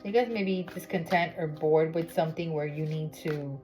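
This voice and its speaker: Low-voiced tarot reader woman over music. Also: moderate pace, darkish tone. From Miami, United States. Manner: chatty, with many fillers and "okay?" check-ins. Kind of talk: casual spiritual reading vlog. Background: background music.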